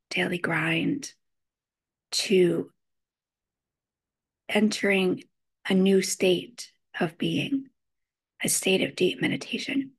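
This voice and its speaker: A woman leading a guided meditation, giving gentle instructions in measured phrases with frequent pauses. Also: mid-range voice, slightly rough.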